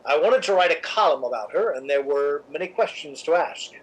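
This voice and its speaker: An actor playing a suave, cynical, scheming theatre critic in a radio drama, with an arch, measured, theatrical delivery. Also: male voice, a little high, expressive, poor audio. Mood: arch, knowing. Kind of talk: old-time radio drama narration and acting.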